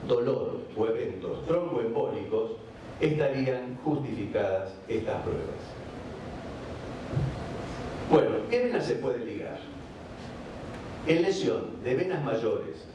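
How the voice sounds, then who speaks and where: A man from Argentina with an Argentine accent, giving a measured medical conference lecture with frequent hesitation fillers. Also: mid-range voice, warm tone, far from the mic. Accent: Argentine Spanish. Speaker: vascular surgeon giving a conference talk. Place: large conference hall. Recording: echoey hall recording from afar, background noise.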